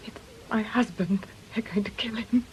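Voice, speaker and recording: An actress playing a terrified, desperate married woman in a radio drama, agitated and halting, with urgent, breathy delivery. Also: on the high side. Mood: anxious confession. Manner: agitated, halting, urgent, slipping into hushed tones.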